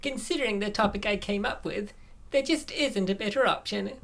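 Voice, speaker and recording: A woman's voice: medium pitch, a little fast, gravelly, lightly acted. A woman voicing a confident, scheming young woman, the helpful best friend in a comic dub. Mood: matter-of-fact, scheming. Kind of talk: comic dub character acting.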